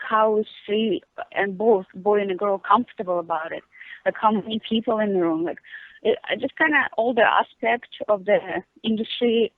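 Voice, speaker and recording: A woman's voice: medium pitch, no echo. Giggly Russian woman on a phone line. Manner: giggly, chatty, leans on "like" fillers. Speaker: guest. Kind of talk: casual phone interview. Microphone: telephone.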